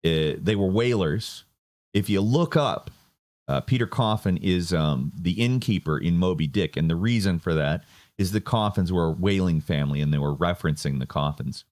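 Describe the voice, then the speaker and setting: A man's voice: sits low, normal speed, a little mellow. A man giving casual political commentary, sardonic and rambling. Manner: sardonic, rambling with fillers and restarts.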